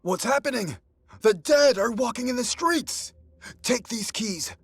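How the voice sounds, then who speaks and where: A man playing a frightened, excitable housekeeper in a video game, exclaiming with melodramatic alarm. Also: very high-pitched, measured pace, gravelly, slight background noise, exaggerated voice. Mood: fearful, flustered. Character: frightened, excitable male housekeeper of a lord's estate. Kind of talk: theatrical game cutscene acting.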